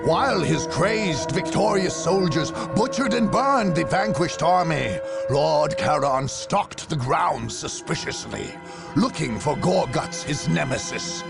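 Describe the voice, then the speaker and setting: A grave, menacing game chronicler, with a creaky, gravelly voice that swings widely in pitch. He tells it slowly and theatrically over faint music. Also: animated, full character voice. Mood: ominous. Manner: theatrical, deliberate storytelling. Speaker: narrator. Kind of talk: dramatic scripted game narration.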